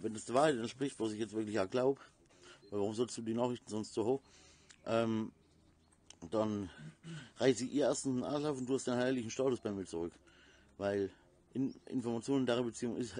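A man's voice: fairly low pitch, warm tone. A man chatting casually in a slangy, sweary, rambling way. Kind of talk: casual chat, voice messages and phone talk.

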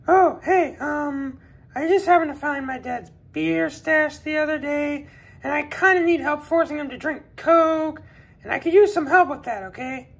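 A man's voice: extremely high pitch, normal speed, creaky, a bit bright, voice pushed up, heavily acted. A man voicing a bratty, scheming young boy who whines, wheedles and turns bossy and threatening in a comedy skit. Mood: pleading.

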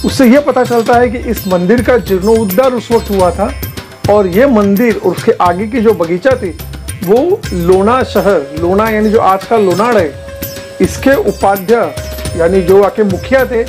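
A man's voice: sits high, unhurried, darkish tone, faint music behind. Chatty man vlogging. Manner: chatty, explanatory, mixes English words into Hindi. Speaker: travel vlogger. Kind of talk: casual vlog narration. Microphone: lapel mic.

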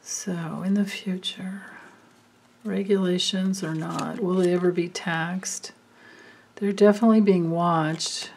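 A woman doing a card reading, thinking aloud with many pauses and fillers. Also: on the low side, steady tone, darkish tone. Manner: slow, musing, thinking aloud. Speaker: card reader. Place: indoors at a table, hands and cards filmed from above.

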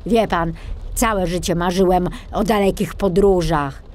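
A woman playing an excitable, sceptical young male forester in a children's storybook, expressive and exclaiming. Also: on the low side, measured pace, close to an everyday voice. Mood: delighted. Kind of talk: children's storybook character acting.

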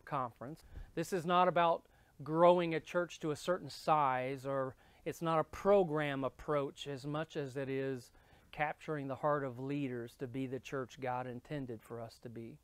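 Minister in his 50s, male, slightly high voice, earnest storyteller, close dry studio sound. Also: no echo. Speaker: minister and church leadership speaker. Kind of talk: interview-style storytelling and explaining. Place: indoor studio with dark backdrop. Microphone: lapel.

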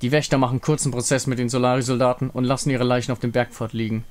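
A man's voice: medium pitch, level tone, fast talker. A man giving a dramatic scripted narration of a fantasy game story. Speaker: narrator and reader of game dialogue. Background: music.

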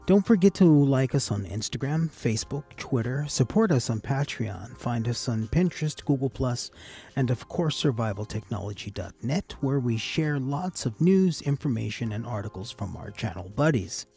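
A man with a gravelly, husky voice giving a casual, explanatory tutorial voice-over as the host of a hobby channel. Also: mid-range voice, expressive, moderate pace, dark tone, faint music behind. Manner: casual, addresses viewers as guys.

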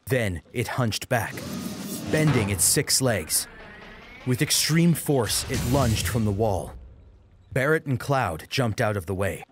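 A man's voice: mid-range voice, expressive, slow. A man narrating a scripted story dramatically as an audiobook reader, with music behind him.

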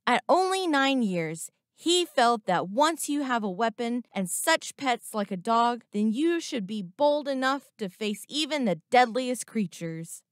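A woman's voice: on the high side, big pitch swings, unhurried, hardly any pauses, no room sound, clean studio sound. Woman narrating a children's story.

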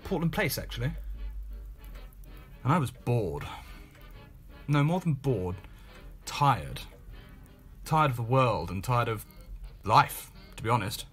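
Man playing a weary, swooping-voiced gentleman. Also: medium pitch, sing-song, slow, long pauses, faint music behind, pitched below the performer's own voice. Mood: bored, weary. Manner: world-weary, wry, clipped. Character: weary, bored, upper-class English gentleman of thirty-seven narrating. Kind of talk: homemade radio play monologue.

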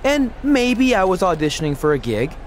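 A cheeky former wrestler jokes around in a lively voice that swings widely in pitch. His voice sits slightly high, with soft background hiss in this game cutscene. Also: moderate pace. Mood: playful. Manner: playful, joking. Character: cheeky, joking male ex-wrestler.

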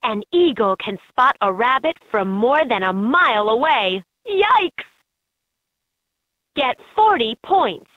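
A woman reading scripted educational narration for a children's game in an expressive, instructive way. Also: a little high, takes it slowly, no echo. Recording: slightly dull, lo-fi game audio.

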